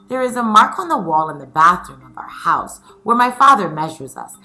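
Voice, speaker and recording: A woman teacher addressing young pupils in a read-aloud lesson, instructive, asking questions with pauses and reading stories expressively. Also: fairly low pitch, sharp tone, poor audio.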